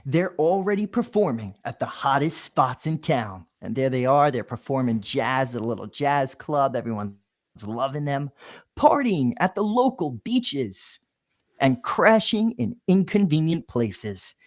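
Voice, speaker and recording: A man's voice: mid-range voice, lively intonation, unhurried. A man, a children's author, reading his picture book aloud playfully, with lots of sound effects.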